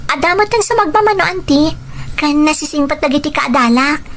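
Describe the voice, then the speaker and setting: A naive, devoted young student from the provinces speaks in a very high voice, played by a woman. It is audio drama dialogue with faint noise and ambience behind it. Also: lively intonation, a little fast, fairly bright tone. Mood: polite, grateful. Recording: noticeable background noise, ambience.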